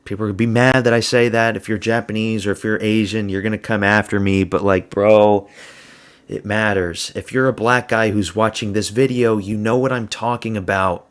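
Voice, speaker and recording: A man's voice: on the low side, steady tone, moderate pace, clear, resonant tone. Blunt, crude young-sounding man reviewing fights. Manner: blunt, crude and provocative, with frequent slang. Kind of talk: casual solo commentary.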